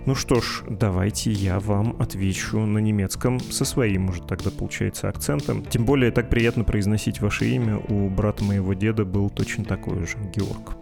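A man's voice: fairly low pitch, steady tone, moderate pace, gravelly, few pauses. A man hosting a podcast, wrapping up the episode and reading out listener mail in a wry, self-deprecating way. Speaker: podcast host. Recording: music bed under voice.

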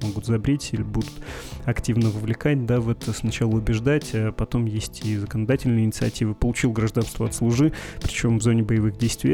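A podcast host from the Perm region answering listener letters in a dry, ironic, argumentative way. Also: male voice, mid-range voice, creaky, warm tone. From Perm region, Russia. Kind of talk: reading and answering listener mail.

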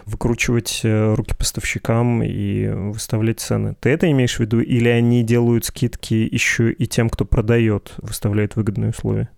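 A man's voice: on the low side, flat delivery, normal speed, creaky, hardly any pauses, a little mellow, no echo, clean studio sound. A man hosting a conversational interview, musing wordily and analytically as he sums up and asks questions. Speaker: interviewer, host.

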